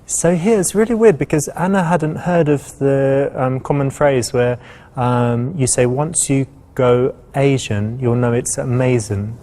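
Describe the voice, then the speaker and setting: Casting: male dating coach, mid-pitched, casual and conversational, giving advice with a halting flow. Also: dark tone.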